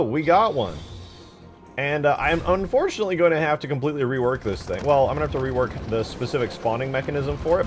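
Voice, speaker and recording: A man streams his game modding in a casual, playful way, thinking aloud as he goes. His mid-pitched voice swings up and down with lively expression. Faint music plays behind his informal, slangy commentary. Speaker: live streamer and game modder.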